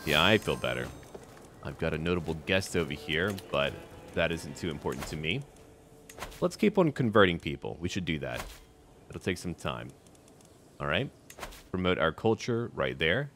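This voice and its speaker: A man's voice: extremely low pitch, very animated, moderate pace, long pauses, a bit bright, a little hiss or hum. A man giving casual running commentary on a strategy game, talking to himself and to the game's characters. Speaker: gameplay video host. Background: music.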